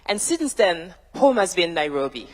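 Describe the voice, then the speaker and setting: A woman, a tech founder giving a measured, emphatic panel answer built from rhythmic lists. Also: on the low side, big pitch swings, background noise. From France. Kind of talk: prepared keynote-style panel answer. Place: large university hall, on stage. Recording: reverberant large hall.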